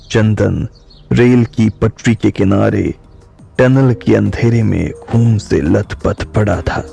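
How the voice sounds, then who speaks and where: A man narrating an audio drama in calm, measured storytelling. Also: lowish voice, gravelly. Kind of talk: slow scripted audiobook narration. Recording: soft music bed.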